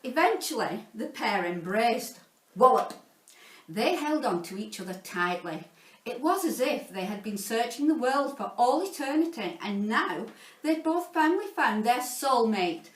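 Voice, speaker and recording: A woman reading a children's story aloud in an expressive, playful, theatrical way, like a teacher narrating to pupils. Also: on the high side, a little slow. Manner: theatrical, playful, dramatizing sound words. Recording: roomy echo, distant from the mic.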